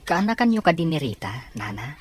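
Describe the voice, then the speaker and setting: A man acting a patient, respectful young suitor in a radio drama, with a husky voice and an Ilocano accent. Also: a little high, sing-song, a little fast, a little hiss or hum. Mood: respectful, pleading.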